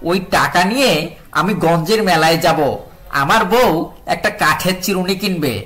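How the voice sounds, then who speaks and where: A man's voice: sits high, moderate pace, fairly bright tone, faint music behind. An actor voicing a clever, stubborn male wise man in a cartoon, pleading and insisting on what he is owed. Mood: impatient.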